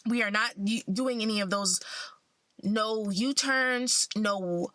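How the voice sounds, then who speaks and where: A woman's voice: mid-range voice, slow pace, a bit bright, no room sound, rough recording. A young woman who sounds a bit congested, talking in a casual, upbeat and encouraging way to her vlog followers. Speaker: vlogger.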